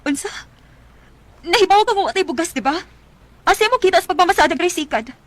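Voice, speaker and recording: A woman's voice: extremely high pitch, lively intonation, quick pace, a bit bright. A woman playing a poor, overburdened mother fed up with her irresponsible husband, acting in a Filipino radio drama with a Cebuano accent. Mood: angry, tearful.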